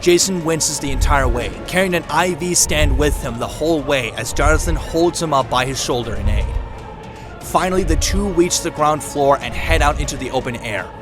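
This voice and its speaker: A man's voice: on the high side, moderate pace, music in the background. A man giving dramatic scripted narration for an audio drama.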